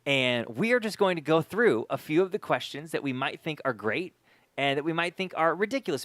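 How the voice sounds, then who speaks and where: A man's voice: fairly high pitch, a bit bright, dry recording. A man hosting a podcast in casual chat, speaking emphatically and often repeating words for emphasis. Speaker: podcast host.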